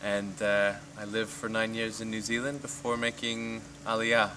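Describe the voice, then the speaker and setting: A man's voice: lowish voice, level tone, normal speed, distant mic. A man of thirty from Kansas, answering interview questions earnestly with some hesitation. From Kansas, USA. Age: 30s. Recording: off-mic with clear background noise.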